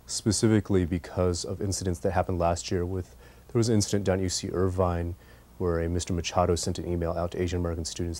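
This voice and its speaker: Very deep, mellow man explaining. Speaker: community advocate interviewed as expert. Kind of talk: explanatory interview talk.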